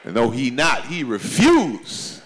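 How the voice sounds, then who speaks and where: A man in his forties from doing stand-up comedy at a church celebration, swinging between loud high exclamations and low confiding asides. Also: wildly swooping pitch, takes it slowly, slightly rough. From Chicago, USA. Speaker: comedian pastor. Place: banquet hall at a church event. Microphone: handheld microphone. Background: audience laughter.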